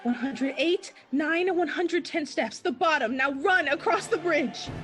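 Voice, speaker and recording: A woman's voice: high voice, unhurried, fairly bright tone, soft background music. A woman playing a terrified young woman fleeing home alone at night, talking to herself in breathless, repeated bursts in the style of a 1940s radio play. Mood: panicked, pleading. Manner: breathless, frantic self-talk in short repeated bursts.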